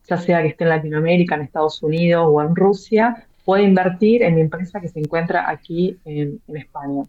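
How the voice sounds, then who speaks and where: A woman's voice: on the low side, warm tone. A woman, an author answering interview questions, often hesitating with fillers and repeated words. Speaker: author and blockchain real estate guest. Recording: dull lo-fi sound.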